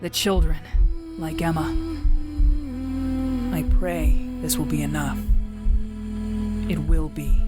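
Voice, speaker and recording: Low, slow actress as devout warrior sister, grave and resolute, music behind. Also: female voice, very animated, heavily acted. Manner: grave, solemn, intense. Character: wounded devout warrior sister, resolute martyr facing death. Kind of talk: dramatic first-person narration in audio drama.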